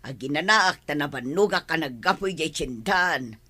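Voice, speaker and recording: A woman's voice: sits low, animated, moderate pace, pushed and bright, breathy edge, full character voice. A woman acting a nagging, grumbling, callous family matriarch in an Ilocano radio drama, always scolding and complaining. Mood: irritated.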